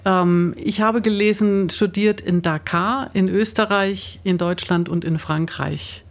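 Radiomoderatorin mit mittlerer Stimmlage, tastend formulierend. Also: female voice, mid-range voice, fairly even intonation, unhurried. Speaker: radio host interviewing a studio guest. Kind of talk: radio interview, semi-spontaneous moderation. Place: radio studio. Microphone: studio microphone.

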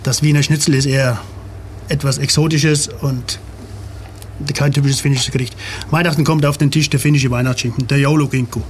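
A man in an interview, explaining in a steady, informative way. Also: mid-range voice, brisk, slight background noise. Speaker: club member.

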